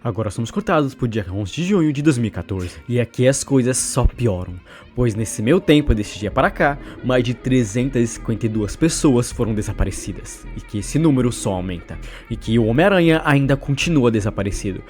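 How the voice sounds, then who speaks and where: Fast, lively Brazilian male narrator. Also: medium pitch, soft background music. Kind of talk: horror story recap narration.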